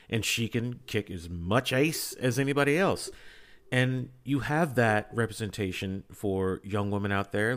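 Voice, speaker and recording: Deep-voiced man in casual fan chat. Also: a bit bright. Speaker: podcast panelist.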